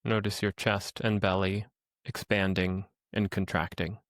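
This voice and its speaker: A man leading a guided meditation as a teacher, speaking in a calm, gentle, instructional way with pauses. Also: low-pitched, no echo, studio quality.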